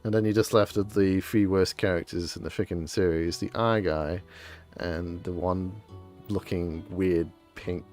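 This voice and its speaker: Very deep-voiced woman streaming games. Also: fairly even intonation, a little slow, a little mellow. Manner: rambling, informal. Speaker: game streamer. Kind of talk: casual livestream gameplay commentary. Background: faint game music.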